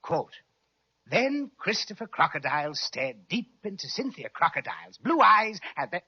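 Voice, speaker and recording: An actor playing a vain, jolly crocodile with grandiose, flowery, drawn-out speech, in the style of an old children's radio serial. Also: male voice, high voice, big pitch swings, husky, full character voice. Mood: showy. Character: vain, jolly, theatrical crocodile who fancies himself a singer. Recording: dull, lo-fi old radio recording.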